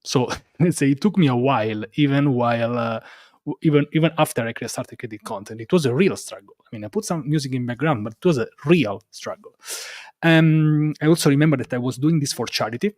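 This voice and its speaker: A man with a strong accent from Rome speaks as a guest in a casual interview. His English is non-native and halting, with phrases often repeated and a self-deprecating touch. His voice sits at a middling pitch, in a very dry, close sound. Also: a little mellow, no room sound. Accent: Roman Italian. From Italy. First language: Italian. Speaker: interview guest, developer advocate and content creator.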